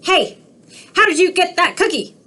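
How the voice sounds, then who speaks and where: A woman voicing a pushy, whiny young male pigeon in a storybook read-aloud, loud and demanding. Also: very high-pitched, big pitch swings, moderate pace, voice pushed up, full character voice. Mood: excited, demanding.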